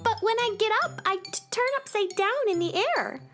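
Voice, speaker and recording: A woman's voice: very high voice, brisk, a bit bright, faint music behind. A woman playing a plucky but miserable sick little girl cowgirl in a children's space radio serial. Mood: anxious.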